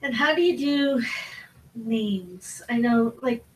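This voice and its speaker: A woman's voice: on the high side, lively intonation, slow, recorded from a distance, low-quality recording. A woman interviewing a narrator in a friendly, casual way.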